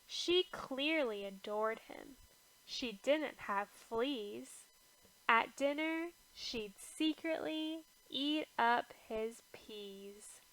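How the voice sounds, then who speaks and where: A woman reading a rhyming children's picture book aloud in an expressive, rhythmic way. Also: a little high, low-quality recording. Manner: expressive, measured rhythmic verse delivery. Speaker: storybook reader.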